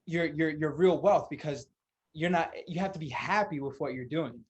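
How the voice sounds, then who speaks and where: Higher-pitched man hosting a podcast. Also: normal speed, slightly rough. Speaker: podcast host.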